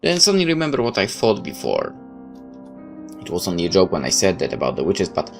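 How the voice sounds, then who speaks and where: Man, low voice, dramatic story read-aloud, expressive but slightly halting, music behind him. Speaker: video game player reading a visual novel aloud.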